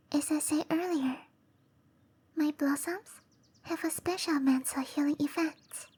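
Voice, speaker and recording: A woman voicing a gentle, shy cherry blossom dryad in whispery roleplay ASMR, with soft breathy speech and a tender, hesitant manner. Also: sits high. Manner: slow, tender, hesitant delivery.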